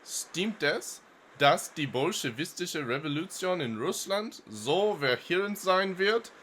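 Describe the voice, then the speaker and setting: An actor playing a dutiful, anxious German soldier in an audio drama, formal and deferential. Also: male voice, on the high side, animated, slow pace, fairly bright tone, a little hiss or hum. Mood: anxious questioning.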